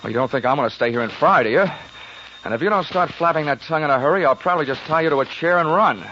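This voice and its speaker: An American actor playing a wisecracking, sardonic, tough-talking private investigator in a 1940s radio drama. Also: male voice, on the high side, racing, pushed and bright, a little hiss or hum. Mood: taunting, pressing. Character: brash wisecracking hard-boiled male private investigator. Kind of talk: 1940s radio acting, first-person detective narration. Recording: dull lo-fi 1940s radio recording, music.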